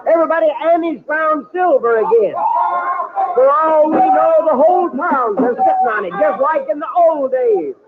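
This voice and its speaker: An actor playing an excitable small-town frontier doctor in an old-time radio western. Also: male voice, very high voice, normal speed. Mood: excited. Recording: noisy, muffled old radio recording.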